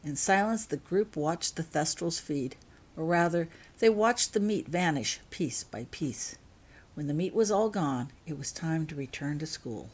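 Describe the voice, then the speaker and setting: Female storybook narrator, lower-pitched voice, author reading her own fan fiction. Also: animated, measured pace, darkish tone, husky.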